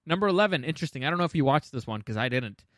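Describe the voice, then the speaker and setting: A man's voice: fairly high pitch, lively intonation, very fast, no room sound, clean studio sound. A man co-hosting a podcast in casual, informal chat, with some swearing. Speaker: podcast co-host. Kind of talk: casual podcast chat ranking video game movies.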